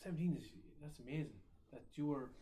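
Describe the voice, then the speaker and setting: A man's voice: a little high, fast, mellow, slight background noise. Easygoing male interviewer. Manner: easygoing, laughs along, short affirmations. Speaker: interviewer, school staff. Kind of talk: casual interview chat. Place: school in Ireland.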